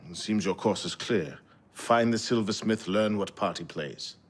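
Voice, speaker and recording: An actor playing a weary, sardonic older mentor with a rough voice in a video game cutscene. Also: male voice, lowish voice, measured pace. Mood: grave, probing. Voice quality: husky, rough.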